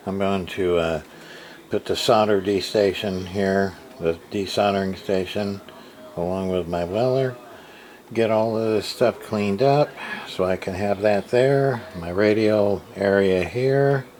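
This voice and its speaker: Tired, slow-talking man at his workbench. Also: lowish voice, darkish tone, slight background noise. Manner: halting, many fillers and restarts, thinking aloud. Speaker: hobbyist radio repairer. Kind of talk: casual workbench show-and-tell. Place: home workshop desk.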